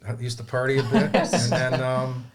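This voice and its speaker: A man's voice: mid-range voice, normal speed. A man in his fifties from Toronto, a community photographer and studio guest, talking in an upbeat, rambling way full of restarts. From Toronto, Canada. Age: 50s. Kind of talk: casual studio chat.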